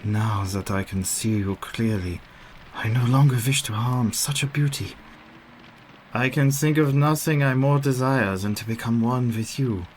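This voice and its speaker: An actor playing an old professor who hunts vampires in a radio play, grave, formal and dramatic. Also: male voice, medium pitch, a little slow, close to an everyday voice, low-quality recording. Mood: entranced. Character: resolute, weary old male professor, vampire hunter. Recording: clear background noise.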